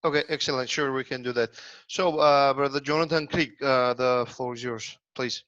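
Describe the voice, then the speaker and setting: A man chairing an online lecture, polite and hesitant, filling pauses with many ums and uhs. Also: mid-range voice, steady tone, a bit bright, no room sound. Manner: hesitant, full of uh fillers, polite. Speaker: moderator. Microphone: video call. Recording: dry, dull lo-fi call audio.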